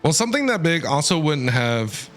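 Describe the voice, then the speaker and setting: A man's voice: fairly high pitch, very animated, moderate pace, a bit bright, soft background music. A man giving casual, enthusiastic, chatty live commentary as he streams a video game.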